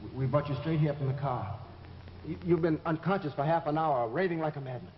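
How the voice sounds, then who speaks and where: A man's voice: fairly high pitch, brisk, slight background noise, close to an everyday voice. Actor as concerned man, muffled old radio. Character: concerned, plain-spoken male friend. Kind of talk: old-time radio drama acting.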